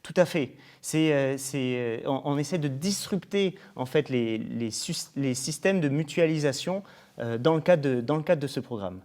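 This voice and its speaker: A man's voice: mid-range voice, animated, normal speed, darkish tone. A man presenting a programme in an interview, formal and explanatory, often going through points as lists. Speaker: programme coordinator being interviewed.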